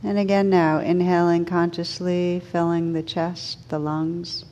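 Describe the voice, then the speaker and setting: A woman guides a meditation in a relaxed, mellow voice that sits a little low. She gives gentle, soothing instructions in short phrases with pauses between them. The live recording carries some faint rumbling noise. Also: dull audio. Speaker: meditation teacher.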